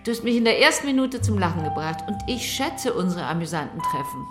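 A woman's voice: mid-range voice, big pitch swings, quick pace, natural voice. An actress in a radio play playing a frank, cool, teasing woman in her fifties with a dry, plain-spoken manner. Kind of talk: naturalistic radio play dialogue. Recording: roomy sound with music behind.

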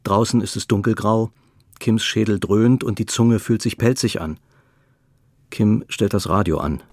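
Deep, husky male storyteller. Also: measured pace, a little mellow, no room sound, clean studio sound. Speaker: narrator. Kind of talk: scripted radio play narration.

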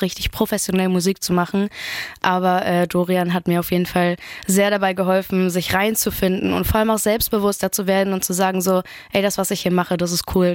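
A woman's voice: lowish voice, no echo, clean studio sound. A woman in her twenties, a singer, chatting casually in an interview with lots of fillers. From Germany. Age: 20s. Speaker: singer and guest.

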